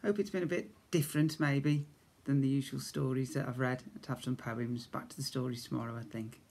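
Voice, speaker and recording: British male primary teacher, fifties, expressive children's verse reader, medium pitch, chatty asides. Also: a little fast, gravelly, breathy edge. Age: 50s. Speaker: infant school teacher. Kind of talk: reading comic rhymes aloud to young children. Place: small indoor school office. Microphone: device camera at arm's length.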